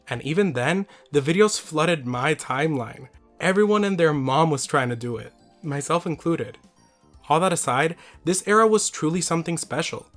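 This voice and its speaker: Slightly raspy man narrating a video essay. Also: on the high side, a bit bright.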